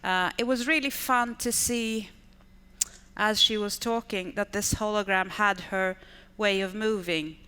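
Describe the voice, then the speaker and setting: Woman, 50s, Swedish accent, enthusiastic conference speaker, middle pitch, lingering reverb of a large hall. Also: unhurried, sharp tone, smooth. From Sweden. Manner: enthusiastic, stacks repeated intensifiers. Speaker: conference speaker, education manager. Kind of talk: conference talk. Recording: reverberant hall with long decay.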